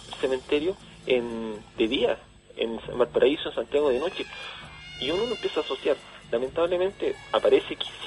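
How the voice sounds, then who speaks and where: A Chilean man being interviewed on radio, explaining things conversationally with halting, repeated words. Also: medium pitch, a little mellow, rough recording. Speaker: interview guest, event organiser. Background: background music.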